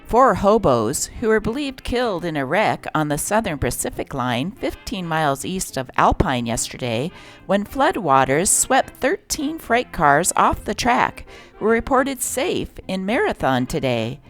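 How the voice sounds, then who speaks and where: A woman's voice: fairly low pitch, very animated, unhurried, soft background music. A woman reading a script aloud as host and narrator, with a theatrical delivery.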